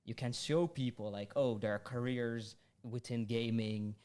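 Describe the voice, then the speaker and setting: A young man hosting a student podcast, speaking hesitantly with many pauses and giggles. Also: medium pitch, steady tone, darkish tone, smooth. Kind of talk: casual interview chat.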